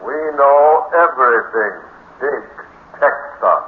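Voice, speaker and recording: An actor playing a menacing, superior Martian commander who speaks in deliberate, commanding pronouncements in an old radio drama. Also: male voice, mid-range voice, lively intonation, slight background noise. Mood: coldly superior. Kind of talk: 1950s radio drama acting. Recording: tinny, echoing 1950s radio recording.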